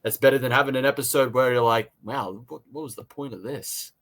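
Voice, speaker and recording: A man from Australia co-hosting a casual review chat, talking in a halting way and often repeating words. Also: medium pitch, steady tone, fairly bright tone. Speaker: podcast co-host and reviewer.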